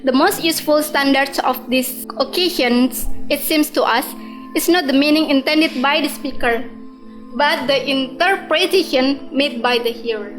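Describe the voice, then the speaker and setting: Actress as high-voiced stern panel woman. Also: moderate pace, music in the background, natural voice, low-quality recording. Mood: formal, official. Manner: formal, pointed. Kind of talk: radio drama acting.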